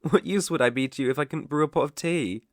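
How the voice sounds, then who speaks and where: A man with a British accent playing a polite, devoted butler in an ASMR roleplay, speaking formally and deferentially with soft attentiveness. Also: a little high, fast talker, no room sound, studio quality, natural voice. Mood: deferential, attentive. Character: polite, devoted young male butler, gently teasing.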